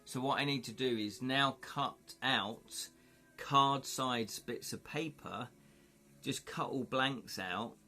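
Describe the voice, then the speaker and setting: A man talks casually on a live stream, rambling and thinking out loud, filling gaps with "you know" and little laughs. His voice sits at an ordinary middle pitch, and faint music plays in the background. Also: slow. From United Kingdom. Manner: rambling, chatty, thinks aloud, often says "you know". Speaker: livestream host. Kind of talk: casual chat with viewers.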